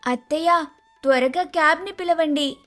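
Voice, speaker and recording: A woman's voice: sits high, normal speed, sharp tone. A woman playing an eager, naive young daughter-in-law in a dramatised moral story, chatty, exclamatory and questioning. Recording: music under the voice.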